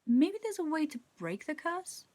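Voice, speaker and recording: A woman's voice: high voice, very animated, normal speed, a little mellow, pitched above the performer's own voice. A woman playing a curious, bold young girl in a children's story, earnest and questioning. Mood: hopeful.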